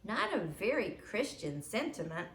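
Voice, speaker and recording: A woman's voice: mid-range voice, very animated, measured pace, fairly bright tone, roomy sound, slight background noise. Wry, teasing storybook dad, read by a woman.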